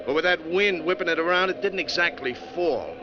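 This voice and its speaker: A man's voice: on the high side, brisk, lightly acted. A man playing a plain-spoken bus driver in a 1940s radio drama, talking in a folksy, colloquial way. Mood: grim recounting. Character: plain-spoken male bus driver recounting a harrowing trip. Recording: dull 1940s radio recording, music behind.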